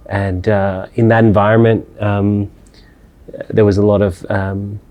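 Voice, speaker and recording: A man, giving thoughtful interview answers with many pauses, fillers and restarts. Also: lowish voice, flat delivery, darkish tone. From Australia. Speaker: interviewed scholar.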